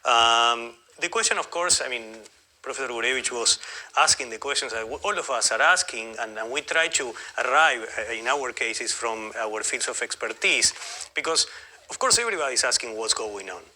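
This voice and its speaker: A man with an Argentine Spanish accent speaks as a guest lecturer. His voice is mid-pitched, very bright and tense. He talks in a halting, discursive manner, often correcting himself as he goes. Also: animated. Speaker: guest lecturer, academic historian. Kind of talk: spontaneous academic lecture.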